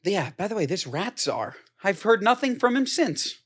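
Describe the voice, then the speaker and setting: A man's voice: high voice, sing-song, moderate pace, a bit bright, breathy edge. A man co-hosting a podcast in casual chat, joking in exaggerated, mock-outraged riffs. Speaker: podcast co-host.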